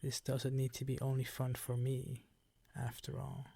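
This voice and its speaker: A man voicing a predatory, possessive vampire count in an ASMR roleplay, with formal, teasing, commanding phrasing and a breathy voice that often sinks toward a whisper. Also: medium pitch, monotone, a little slow, dark tone, dry recording. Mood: teasing, hungry.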